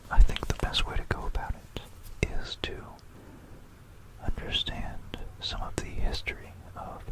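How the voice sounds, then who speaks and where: An ASMR host whispering an educational history talk in an unhurried, explanatory manner, close to a stereo microphone. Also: rough recording. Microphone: close stereo binaural microphone.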